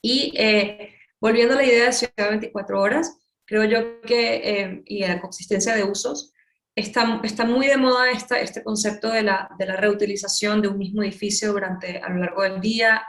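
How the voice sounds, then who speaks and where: A woman with a Venezuelan accent speaks as an interview guest, explaining things in an articulate way. Her pitch sits in the middle range for a woman. The recording is roomy, with clear echo around the voice. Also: steady tone, normal speed, fairly bright tone. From Caracas, Venezuela. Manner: articulate, explanatory, builds points with examples. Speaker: interviewee, urban nightlife consultant. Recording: roomy, echoing room sound.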